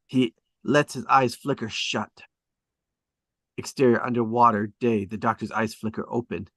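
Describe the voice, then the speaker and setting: A man's voice: mid-range voice, steady tone, normal speed, fairly bright tone, no echo, studio quality. A man reading stage directions as dramatic scripted narration at a table read.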